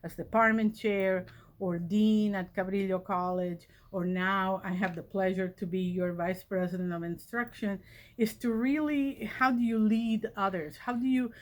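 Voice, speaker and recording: A woman, a college vice president telling her personal story in a reflective, conversational way. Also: medium pitch. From Catalonia, Spain. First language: Catalan. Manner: reflective, conversational, many fillers. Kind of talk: personal origin story talk.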